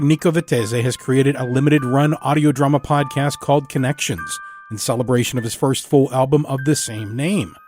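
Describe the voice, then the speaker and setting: A man's voice: medium pitch, darkish tone. A man hosting a podcast, reading a scripted introduction and announcements. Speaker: podcast host. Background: music.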